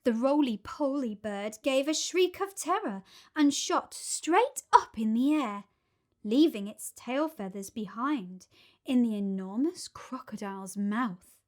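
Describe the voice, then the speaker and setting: A woman reading a children's storybook aloud as an expressive narrator. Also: a little high, unhurried. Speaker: storybook reader.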